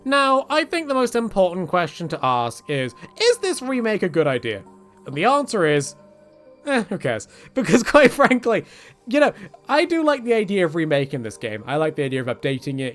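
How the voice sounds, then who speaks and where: Lively, higher-pitched man riffing on games. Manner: rambling, self-deprecating, tongue-in-cheek; swears casually. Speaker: video essayist on games. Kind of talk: casual, joking opinion commentary.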